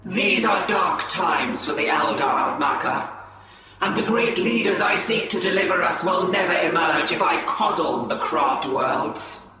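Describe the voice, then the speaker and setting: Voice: medium pitch, lively intonation, measured pace, lightly acted. A performer voicing a ruthless ancient elf warrior lord, cold and commanding. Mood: stern. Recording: echoey hall, from far off, loud music.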